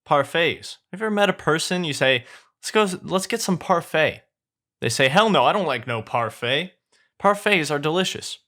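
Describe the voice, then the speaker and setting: A man voicing a fast-talking, excitable donkey sidekick in a fairy-tale story, rambling and informal. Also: on the high side, voice pushed up. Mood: eager chatter.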